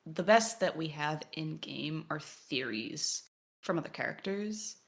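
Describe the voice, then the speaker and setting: Lower-pitched woman in analytical discussion. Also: animated, measured pace, creaky. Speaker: podcast co-host. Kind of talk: discussion of a video game, partly reading text aloud.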